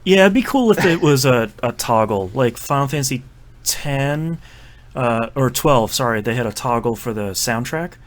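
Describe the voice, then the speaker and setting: A man hosting a casual podcast about video games, chatting and running the segments with quick asides. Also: medium pitch, animated. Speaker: podcast host. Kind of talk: casual chat.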